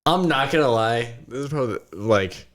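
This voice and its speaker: A young man at a tabletop game who jokes, swears and laughs his way through the session. His pitch swings widely as he talks, and his voice stays at a middle height. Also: expressive, fairly bright tone. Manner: laughing, profane, rapid joking. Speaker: player. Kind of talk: casual tabletop game chat, partly in character.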